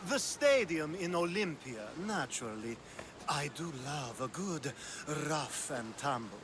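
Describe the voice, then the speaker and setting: An actor playing a flamboyant, flirtatious Greek aristocrat in a video game, languid and suggestive in a theatrical way. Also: male voice, a little high, very animated, unhurried, background noise. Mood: playful, flirtatious. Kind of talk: video game cutscene acting.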